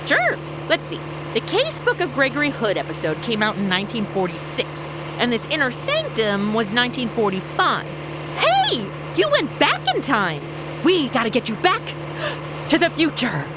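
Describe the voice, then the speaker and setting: A woman's voice: a little high, wildly swooping pitch, moderate pace, background noise. An actress playing a breezy, irreverent modern woman with a flippant, slangy manner in a comedy audio drama. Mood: excited, teasing.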